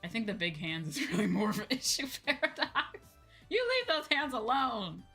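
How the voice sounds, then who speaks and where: A woman streamer chatting casually with her viewers in an animated, informal way, often checking in as she goes. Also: fairly high pitch, normal speed. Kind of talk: casual livestream chat. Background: background music.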